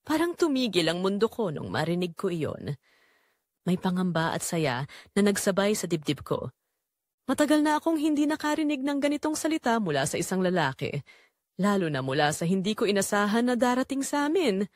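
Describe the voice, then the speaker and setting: Expressive Filipino woman narrating a drama. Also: medium pitch, a little fast, no room sound, studio quality. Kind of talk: dramatic first-person scripted storytelling.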